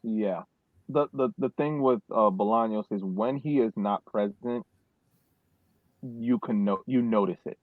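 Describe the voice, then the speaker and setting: Low-voiced man on a thin phone-like line. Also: no room sound. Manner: deliberate, repeats words for emphasis. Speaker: sports podcast co-host. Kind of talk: casual soccer analysis.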